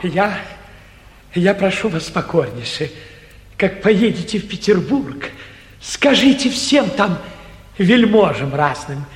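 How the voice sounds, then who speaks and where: Earnest, fawning provincial gentleman; high, animated, halting, old theatre recording with hall echo. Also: full character voice. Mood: humble, earnest. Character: eager, humble, naive provincial busybody gentleman. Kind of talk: theatrical 1940s stage comedy acting. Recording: echoey 1949 theatre radio production.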